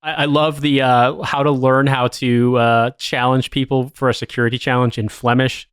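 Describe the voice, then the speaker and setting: A man hosting a podcast, reading an article aloud in a measured way and then chatting, amused and incredulous. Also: medium pitch, flat delivery, fairly bright tone, clean tone, dry recording, studio quality. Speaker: podcast host.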